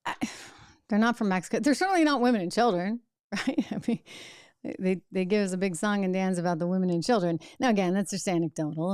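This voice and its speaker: A woman's voice: lowish voice, darkish tone, dry recording, studio quality. Emphatic woman political commentator. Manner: emphatic, rhetorical, talks back to clips. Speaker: host.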